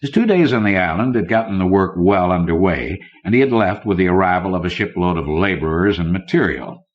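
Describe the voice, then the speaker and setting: A man's voice: low voice, expressive, brisk. A man reading scripted fiction as an audiobook narrator. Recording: dull lo-fi old cassette recording.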